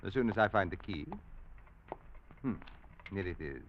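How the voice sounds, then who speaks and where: An actor playing a smug villainous captor in a 1940s radio drama, suave and mockingly polite. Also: male voice, low voice, animated, moderate pace. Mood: mocking courtesy. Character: smug, mock-courteous villainous captor of a man. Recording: muffled, noisy old radio recording.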